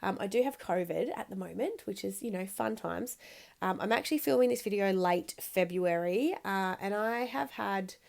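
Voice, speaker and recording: A woman in her thirties with a croaky, congested voice, chatting casually in a voice-over while she scrapbooks, rambling with lots of ums. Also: medium pitch, normal speed, darkish tone. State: croaky from a cold. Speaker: scrapbooking video host.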